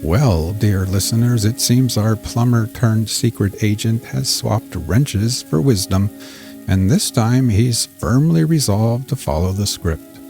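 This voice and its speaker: A man's voice: fairly low pitch, animated, slow, mellow, soft background music. A man narrating scripted fiction as a podcast storyteller, with a dramatic audiobook-style delivery.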